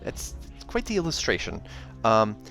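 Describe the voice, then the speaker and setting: A man reading a fantasy novel aloud in an expressive narrating style, as a reader who also comments casually. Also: on the low side, moderate pace, creaky, soft background music.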